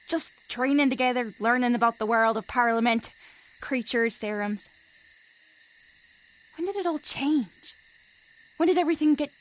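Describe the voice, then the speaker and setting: A woman's voice: on the high side, slight background noise, lightly acted, rough recording. A woman playing a sentimental, teasing young best friend in an audio drama. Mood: wistful. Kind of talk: audio drama acting with first-person narration.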